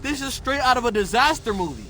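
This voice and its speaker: A friendly, excitable teenage turtle with a very high, bright voice and bouncy intonation. The style is playful cartoon game acting over faint ambience. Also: male voice, fast talker, slight background noise, exaggerated voice. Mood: friendly, defensive. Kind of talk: animated video game acting.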